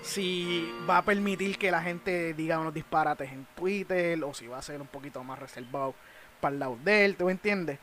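A man's voice: fairly high pitch, moderate pace, fairly bright tone. A man with a Puerto Rican accent hosting a casual podcast, talking in slang sprinkled with English words. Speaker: podcast host. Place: small room at home, indoors. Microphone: close microphone on a boom arm. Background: music.

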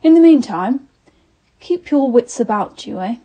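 Woman playing a pompous hunting gentleman, highish voice. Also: lively intonation, a little slow, dull audio. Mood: smug, knowing. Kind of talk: 1930s radio drama acting.

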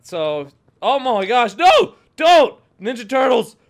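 A young man chatting casually while playing a video game with friends, jokey and quick to exclaim. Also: extremely high pitch. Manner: jokey, excitable, prone to exclaiming. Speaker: gaming video player. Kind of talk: casual group chat while gaming.

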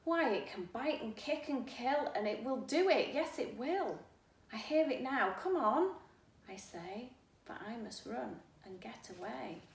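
A woman voicing an excitable, frightened man with a gun in a children's storybook, emphatic and urgent. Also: a little high, unhurried, gravelly. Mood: fearful, urgent. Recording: roomy, distant mic, faint hiss.

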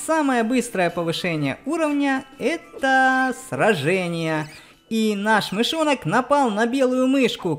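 A woman giving explanatory game commentary for children, speaking directly to her young viewers. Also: mid-range voice. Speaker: let's play narrator on a children's channel.

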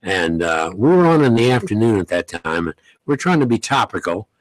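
An older man, a veteran radio host, reminiscing in an unhurried, folksy way in a radio studio at a studio mic. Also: fairly low pitch, big pitch swings, no echo, rough recording. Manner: unhurried, folksy, many pauses and "uh"s. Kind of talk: interview, reminiscing. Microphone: studio mic, headset.

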